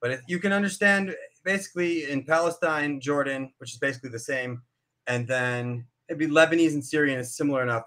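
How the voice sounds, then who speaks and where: A man in his thirties joins an online debate in a mid-pitched voice. He speaks in a measured, thoughtful way, pausing on fillers as he thinks, heard over a webcam call from home. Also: fairly bright tone. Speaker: debate guest. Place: home room. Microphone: webcam video call.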